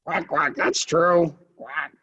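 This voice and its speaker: A performer voicing a silly purple duck mascot who quacks between sentences and shouts cheers in a school awards skit. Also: medium pitch, fairly even intonation, slow pace, husky, lo-fi sound, full character voice. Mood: chatty.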